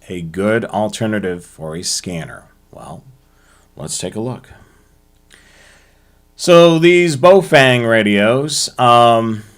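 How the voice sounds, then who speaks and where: An American man hosting a hobby show, presenting to viewers in a halting way with frequent ums and uhs. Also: on the low side.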